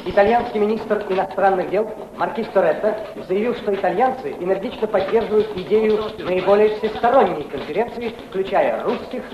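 A man's voice: sits high, normal speed, few pauses. A man announcing in a radio play, reading scripted news items in a formal, declamatory style. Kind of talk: dramatic newsreel-like scripted announcing. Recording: echoey, noisy, dull old radio recording.

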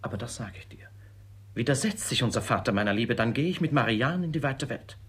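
An actor playing a lovestruck, vain, impetuous young gentleman in a classic radio play, with a husky, gushing, earnest delivery. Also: mid-range voice, lively intonation, a little fast. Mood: frustrated, defiant.

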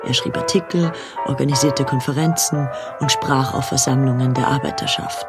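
A man as the storyteller of a radio drama, giving sober, measured scripted narration. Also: medium pitch, steady tone, lightly acted. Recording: music under the narration.

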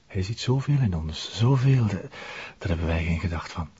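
A devout male sect convert. His low voice is mellow and husky, reverent and humble, in slightly dull radio drama sound. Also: animated, moderate pace, heavily acted.

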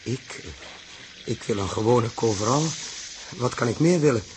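An actor playing a troubled, uneasy man who mutters haltingly and repeats himself, in a 1960s radio drama. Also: medium pitch, lively intonation, slight background noise, lightly acted. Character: troubled, hesitant grown man Joost, uneasy space traveller. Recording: muffled-voiced old 1960s radio recording.

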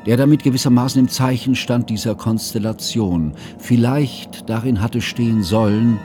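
A man acting as an educated, observant narrator in a radio play, speaking in formal, ornate and deliberate phrases. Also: medium pitch, over music, natural voice. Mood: measured, observant. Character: educated male first-person narrator, measured, observant friend.

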